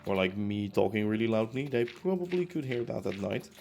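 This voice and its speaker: A man's voice: lowish voice, lively intonation, brisk, gravelly, a little mellow, soft background music. A young man streaming from his apartment as he builds in a game, chatting casually and rambling with lots of fillers and restarts. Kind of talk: casual chat while building. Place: home room in a concrete apartment.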